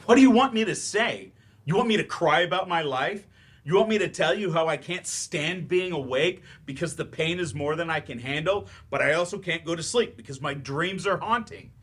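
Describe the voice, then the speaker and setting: A man's voice: on the high side, moderate pace, a bit bright, husky. An actor in a staged play reading, playing a bitter, grieving, sarcastic man who teaches remedial English and speaks defensively and emphatically. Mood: anguished, angry.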